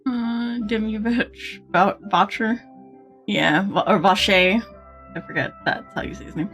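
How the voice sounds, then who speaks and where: A woman's voice: mid-range voice, measured pace, a bit bright, soft background music. A woman live streamer chatting casually over a video game in rambling, informal asides.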